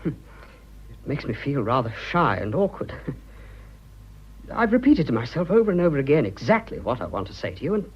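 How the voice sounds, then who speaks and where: An actor playing an earnest, awkward young English businessman in love, halting and self-conscious, in a period radio drama. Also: mid-range voice, wildly swooping pitch, slightly rough, dull audio. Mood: shy, earnest. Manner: halting, self-conscious, blurting, clipped old-fashioned speech.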